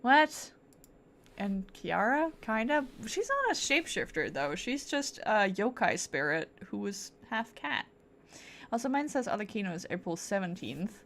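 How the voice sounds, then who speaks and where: A woman's voice: medium pitch, very animated, a little slow, fairly bright tone. A woman chatting casually as she streams a game, thinking aloud and correcting herself in a playful, rambling way. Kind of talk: casual livestream chat while gaming.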